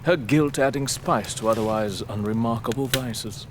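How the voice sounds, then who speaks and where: An actor playing an arrogant, sardonic aristocratic lord in an audio drama, with a British accent and a pompous, theatrical manner. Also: male voice, mid-range voice, normal speed, noisy background, natural voice. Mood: cynical, lecturing.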